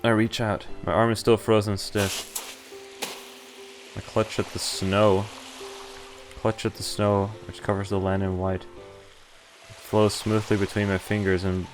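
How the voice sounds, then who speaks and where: A man streaming a game, reading its story text aloud in an informal way. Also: lowish voice. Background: game music.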